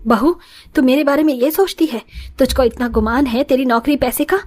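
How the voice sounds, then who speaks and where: A woman's voice: sits high, fairly quick, a little mellow. A woman playing an older mother-in-law with a scolding, emotional manner in a moral story cartoon. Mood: hurt. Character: older mother-in-law, indignant and easily wounded.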